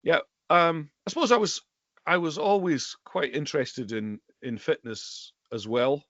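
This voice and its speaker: Middle-aged Highland Scottish man, slightly muffled. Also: measured pace, fairly bright tone, no echo. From Western Isles of Scotland. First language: Scottish Gaelic. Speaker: guest, bookseller and Gaelic teacher. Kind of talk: conversational interview answers.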